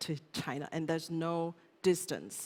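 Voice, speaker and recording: A woman's voice: deep voice, very animated, normal speed, darkish tone, husky. A woman from Malaysia whose first language is Minnan talks as a panel guest. She speaks earnestly and stops often with fillers. Her voice carries a long hall reverb. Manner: hesitant, filler-heavy, heartfelt. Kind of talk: panel discussion.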